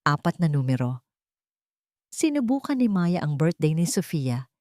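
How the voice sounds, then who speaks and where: Female narrator for audio drama. Lowish register, animated intonation, suspenseful phrasing, dry studio-like sound. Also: moderate pace, dry recording. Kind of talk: dramatic scripted story narration.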